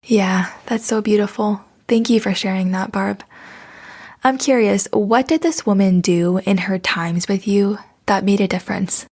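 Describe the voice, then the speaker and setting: A woman's voice: mid-range voice, a little slow, darkish tone. A woman hosting a podcast, opening with a scripted introduction and then interviewing her guest in an encouraging way. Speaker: podcast host.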